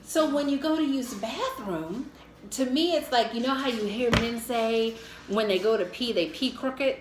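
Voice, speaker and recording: A middle-aged American woman telling a casual, humorous personal story in a candid, animated way. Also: fairly high pitch, normal speed. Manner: animated, candid, acts out her own thoughts. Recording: echoey room with faint noise.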